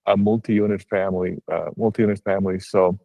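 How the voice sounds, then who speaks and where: A man's voice: low voice, flat delivery, fairly quick, creaky, warm tone, no echo. A man from Argentina, a real estate broker, talking on a livestream video call, hesitant with many restarts and fillers. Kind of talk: casual livestream call-in chat.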